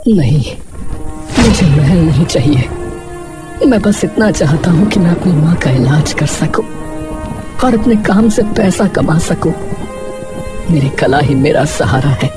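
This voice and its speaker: A humble, despairing village cobbler voiced by a man in a cartoon moral tale. He speaks slowly and haltingly, with a mournful, pleading manner over prominent music. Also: on the high side, lively intonation. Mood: sad, humble.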